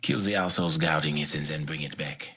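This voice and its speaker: An actor playing a weary, hardened Haitian gang boss in a video game, with a husky voice, a Haitian accent and a grave, measured delivery. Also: male voice, a bass voice, fairly bright tone, a little hiss or hum. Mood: somber. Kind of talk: video game cutscene acting.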